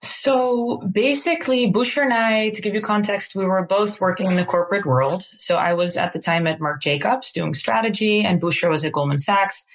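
A woman's voice: mid-range voice, moderate pace, few pauses, poor audio. A woman in her thirties from the Netherlands, a company co-founder chatting casually in an interview and using lots of fillers. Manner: chatty, fillers like "you know".

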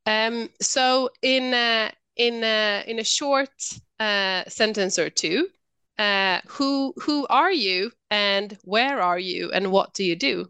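A woman's voice: mid-range voice, slow, pushed and bright, clear, resonant tone, no room sound. A woman hosting a podcast, chatting casually and putting her questions in a tentative, informal way. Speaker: podcast host. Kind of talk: casual interview chat.

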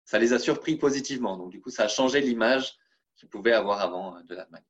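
A man's voice: medium pitch, fast talker, muffled recording. A man from France speaking in a casual interview, hesitant and full of restarts. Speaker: interview guest.

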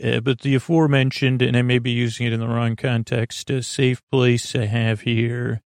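A man with a creaky, lulling voice hosting a sleep podcast, meandering through halting, tangential bedtime talk. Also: mid-range voice, flat delivery, no echo, clean studio sound. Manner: meandering, tangential, halting, self-deprecating nonsense. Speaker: podcast host. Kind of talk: rambling bedtime monologue to help listeners sleep.

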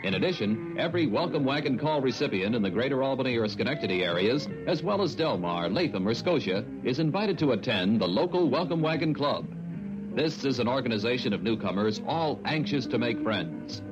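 Male announcer, 1960s local radio spot, mid-pitched scripted read, muffled, strong music bed. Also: animated, brisk. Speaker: commercial announcer. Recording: dull old radio broadcast, loud music.

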